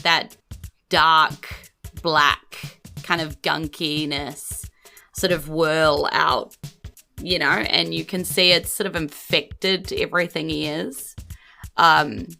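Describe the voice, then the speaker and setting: A woman's voice: lowish voice, vocal fry, bright, forceful tone. A woman with a New Zealand accent improvising narration as the game master of a tabletop game.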